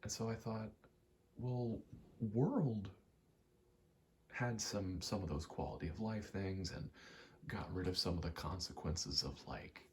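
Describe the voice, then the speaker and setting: A soft-spoken man rambling casually in ASMR style, meandering and murmuring, often dropping toward a whisper. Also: low-pitched, unhurried, pauses often. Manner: meandering, self-correcting, casually sweary. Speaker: video maker rambling over gameplay. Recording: faint background noise.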